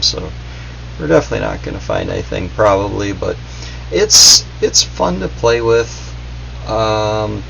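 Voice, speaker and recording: A man's voice: sits low, gravelly, bright, forceful tone. A man giving a casual, unscripted gadget review in a hesitant, meandering, noncommittal way. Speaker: product reviewer. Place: indoors in a basement, at a workbench. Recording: dull audio, faint background hum.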